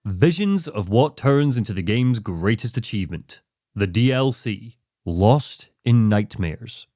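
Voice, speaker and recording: A man's voice: lowish voice, lively intonation, unhurried, mellow, no room sound. A man delivering scripted narration for a critical video essay, with dramatic, emphatic phrasing. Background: background music, guitar.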